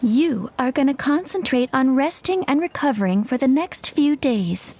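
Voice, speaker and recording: A woman's voice: high voice, animated, normal speed, darkish tone, soft background music. An actress playing a firm, caring and playful young woman in a video game, a little bossy and teasing. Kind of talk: video game character dialogue.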